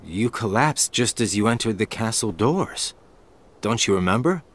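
An easygoing young friend. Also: male voice, lowish voice, lively intonation, moderate pace. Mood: calm. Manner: casual, easygoing. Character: laid-back young man, loyal friend. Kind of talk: video game cutscene voice acting. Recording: game audio with faint music.

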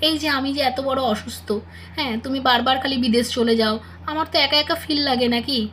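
A woman's voice: sits high, fast talker, fairly bright tone, a little hiss or hum. A woman voicing a jealous, sulky young pregnant wife in a doll-play drama, whining and nagging melodramatically. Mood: sulky, hurt.

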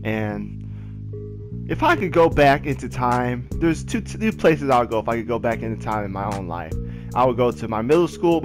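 A young American man casually telling personal stories in a slangy, repetitive, animated way. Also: mid-range voice. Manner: slangy, repetitive, animated retelling with acted quotes. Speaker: gaming video storyteller. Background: background music with guitar.

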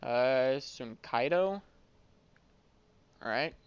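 A man's voice: medium pitch, animated, drawn-out words, smooth, no echo, highs cut off. A man giving casual gameplay commentary, rambling and thinking aloud as he plays. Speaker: gaming video host.

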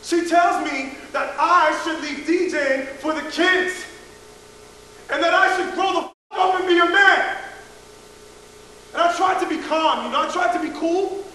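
A man's voice: extremely high pitch, a little slow, sharp tone, heavy reverb, in a large hall, recorded from a distance, slight background noise, heavily acted, low-quality recording. An actor playing an anguished, volatile man in an intense dramatic confession monologue. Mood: strained confession.

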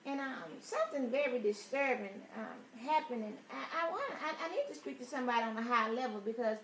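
A woman's voice: a little high, a little fast, a bit bright. A woman playing an aggrieved, easily offended customer, rambling and swearing through a prank phone complaint. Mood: indignant complaining. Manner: indignant, rambling, repetitive, foul-mouthed. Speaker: prank caller. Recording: roomy, distant, noisy phone prank recording.

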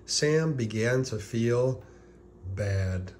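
A man reading a children's picture book aloud as scripted narration, deliberately, with pauses before key words. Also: medium pitch, animated, a little mellow, slight background noise.

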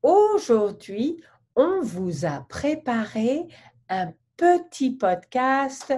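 A middle-aged woman speaks on a video call from her home office, drawing out each question slowly and dramatically. Her pitch leaps up and down with great energy, and her voice sits a little high for a woman. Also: sing-song, mellow. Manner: theatrical, exaggerated questions, gestures broadly. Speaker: host interviewing a colleague. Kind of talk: slow, simple French for learners. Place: home office, indoors, virtual background. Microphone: webcam on a video call.

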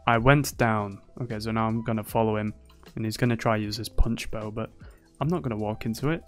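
A young man talks through a match in casual commentary, teasing his opponents and bragging in jest. His voice sounds a little worn from heavy use, and soft music plays faintly underneath. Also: fairly low pitch, steady tone, brisk. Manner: playful, teasing, boastful banter. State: slightly losing his voice. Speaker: gaming YouTuber.

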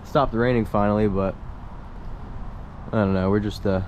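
A man's voice: fairly low pitch, steady tone, normal speed, darkish tone, a little hiss or hum, poor audio. A young man casually narrating a fishing vlog outdoors and chatting to his viewers in an upbeat way. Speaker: fishing vlogger. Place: outdoors by a saltwater creek.

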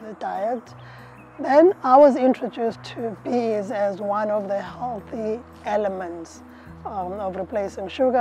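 A woman's voice: medium pitch, warm tone. A middle-aged woman beekeeper, interviewed outdoors in an orchard, explaining with frequent fillers and restarts. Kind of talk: interview answers.

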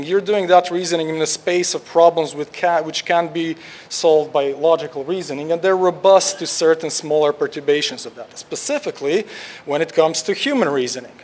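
A young man from Croatia, a research scientist giving an informal tutorial talk in a lecture hall, with lots of "like" fillers and restarts. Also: fairly high pitch. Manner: casual, many "like" fillers and restarts.

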